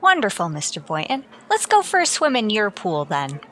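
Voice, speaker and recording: A woman playing a wry, lovestruck high school teacher in an old-time radio comedy, with a dry, sly manner. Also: huge pitch swings, normal speed, pushed and bright. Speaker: lead actor and narrator. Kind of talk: old-time radio comedy acting, first-person narration.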